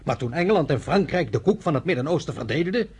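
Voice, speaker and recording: Young energetic politician in a radio play, highish husky voice, persuasive. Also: male voice, fast talker. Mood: reasoned, persuasive. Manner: reasoning, persuasive. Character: young, energetic, pragmatic political leader proposing compromise. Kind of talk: Dutch radio play acting.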